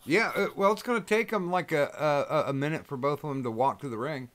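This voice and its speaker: A man hosting a casual chat, loud and profane, with rambling restarts. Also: on the high side, a little fast, slight background noise. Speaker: host.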